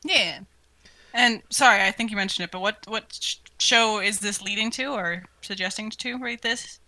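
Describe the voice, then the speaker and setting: A woman's voice: medium pitch, moderate pace, bright, forceful tone. A woman chatting casually as co-host of a commentary, upbeat, often interjecting and asking questions. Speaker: co-host asking audience questions, voice cast member.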